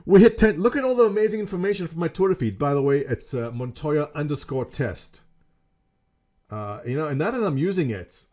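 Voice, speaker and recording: A man's voice: medium pitch, mellow. A middle-aged man from South Africa with a South African accent, chatting casually on webcam from a small room at home, rambling and joking at his own expense. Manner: rambling, jokey, self-mocking. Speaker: gaming community leader.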